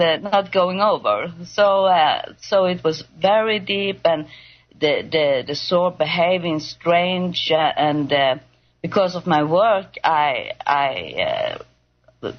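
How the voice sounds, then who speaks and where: A woman from Sweden with a Swedish accent, speaking English as a second language, telling her story as a radio guest, pausing often to search for words. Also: lowish voice, highs cut off. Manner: halting, searching for English words, many fillers. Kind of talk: personal testimony on a call-in show.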